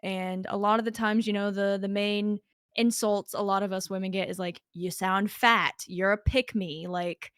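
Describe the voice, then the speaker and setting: A woman's voice: mid-range voice, steady tone, moderate pace, fairly bright tone, smooth, dry recording, studio quality. A young woman as a podcast guest, talking in a chatty way with lots of filler words. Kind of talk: casual podcast conversation.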